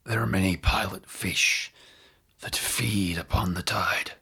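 A man's voice: low voice, fairly even intonation, vocal fry, pushed and bright, husky, full character voice. A man voicing a dying, mold-covered undead creature in a strangled, whispery voice, speaking in solemn, cryptic fragments for an improvised audio drama. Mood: grave, cryptic. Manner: halting, cryptic short phrases.

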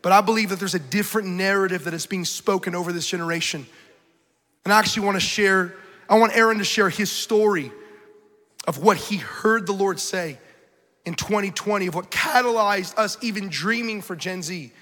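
A man's voice: high-pitched, normal speed, bright, forceful tone. A man in his thirties preaching an urgent, emphatic sermon in a large church hall. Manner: urgent, emphatic, rhetorical repetition. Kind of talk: passionate sermon. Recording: reverberant hall.

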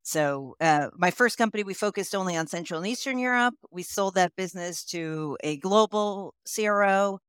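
A woman in her forties with an American accent, a company CEO answering interview questions in a measured way, often pausing with "um". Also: medium pitch, a bit bright, smooth, no echo. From United States. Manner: measured, many ums while thinking. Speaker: CEO guest. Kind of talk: podcast interview.

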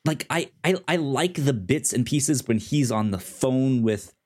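A man co-hosting a podcast, chatting casually about television in a halting way, often repeating words and restarting. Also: medium pitch, lively intonation, a little mellow. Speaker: podcast co-host. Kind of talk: casual TV review chat.

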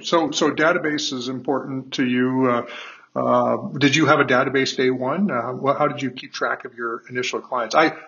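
A man's voice: mid-range voice, gravelly, fairly bright tone. Hesitant male podcast host, lo-fi sound. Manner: hesitant, many fillers and restarts. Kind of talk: podcast interview.

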